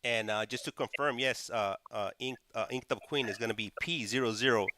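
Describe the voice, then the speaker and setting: A man hosting a casual live stream, speaking haltingly and often stammering over repeated words. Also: medium pitch, bright, forceful tone, no room sound, clean studio sound. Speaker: host of a call-in stream.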